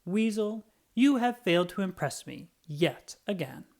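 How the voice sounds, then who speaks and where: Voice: mid-range voice, very animated, unhurried, a little mellow, voice pushed up. A narrator voicing a skeptical female know-it-all in a storybook, emphatic, dismissive and lecturing.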